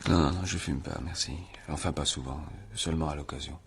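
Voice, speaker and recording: An actor playing a stubborn, guarded country man under questioning in a French radio crime drama, blunt, defensive and halting. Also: a bass voice, fairly even intonation, vocal fry, a little hiss or hum. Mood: subdued. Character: stubborn, guarded young male country murder suspect.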